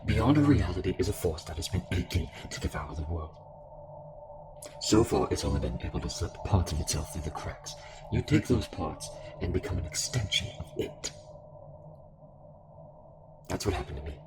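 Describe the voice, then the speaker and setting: A man playing a calm, sinister cult fanatic fused with a devouring entity, taunting in measured monologue in an audio drama. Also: sits low, poor audio. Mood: ominous, grave. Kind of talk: dramatic audio roleplay acting. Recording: music under the voice.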